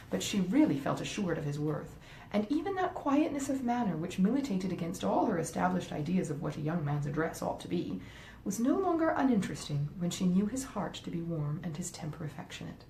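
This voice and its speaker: A woman reading a classic novel aloud as scripted literary narration. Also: warm tone. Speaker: audiobook reader, author reading a classic novel. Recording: roomy echo, faint rumbling noise.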